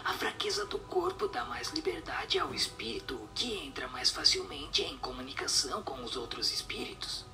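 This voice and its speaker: Actor as otherworldly instructive spirit for radio drama: whispered, sharp-edged, swooping intonation, solemn and didactic, music underneath. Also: mid-range voice, huge pitch swings, normal speed, hardly any pauses, recorded from a distance, heavily acted. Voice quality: breathy, whispered, creaky voice. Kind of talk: radio drama question-and-answer dialogue.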